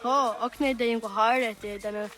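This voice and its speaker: A woman's voice: a little high, fairly even intonation, normal speed, fairly bright tone, lightly acted. A woman playing a young Native villager in a historical video game cutscene. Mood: lively. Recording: music behind the voice.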